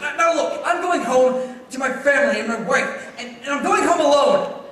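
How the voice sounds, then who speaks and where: A man's voice: very high-pitched, animated, moderate pace. A young-sounding performer, a man, playing a frantic, bewildered family man in a stage radio play, stammering and agitated. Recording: very echoey stage, distant mic, faint noise.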